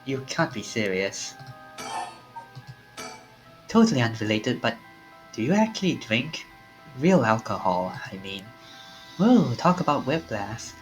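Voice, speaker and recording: Animated streamer reading game dialogue. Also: medium pitch, a little slow, long pauses, soft background music. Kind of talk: reading a visual novel aloud.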